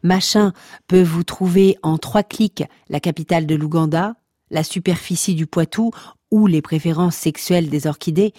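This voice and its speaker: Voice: mid-range voice, darkish tone, dry recording, clean studio sound, close to an everyday voice. Une personne qui joue la narration omnisciente d'une fiction audio comique, pompeuse, théâtrale, taquine avec son auditoire. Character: pompous, mock-scholarly omniscient narrator addressing listeners. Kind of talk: scripted comic fiction narration.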